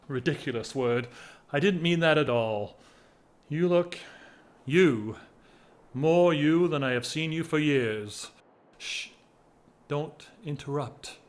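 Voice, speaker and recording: A man acting a whimsical, restless gentleman in an audio drama, with theatrical, wordy, teasing formality. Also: on the high side. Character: whimsical, restless English gentleman, an aristocrat in love.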